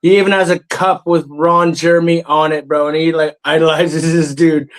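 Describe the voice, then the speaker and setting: A man's voice: a little high, level tone, moderate pace. A man in his thirties hosting a comedy podcast, talking loosely, laughing and swearing, with lots of fillers. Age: 30s. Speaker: podcast host. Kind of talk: casual comedy podcast chat.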